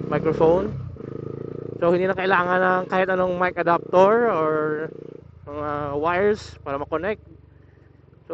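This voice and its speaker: A young man vlogging while riding a motorcycle, explaining his setup in a casual, halting way with many restarts. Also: fairly high pitch, slight background noise. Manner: hesitant, restarts words, explains step by step. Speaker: motovlogger. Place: on a motorcycle outdoors. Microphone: motorcycle helmet intercom over Bluetooth. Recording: muffled helmet intercom audio.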